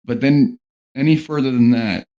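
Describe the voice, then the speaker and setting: A man's voice: mid-range voice, normal speed, warm tone. A man talking casually on a podcast panel about working in animation, rambling with lots of "like" and "um". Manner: rambling, heavy on "like" and "um" fillers. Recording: dull lo-fi sound.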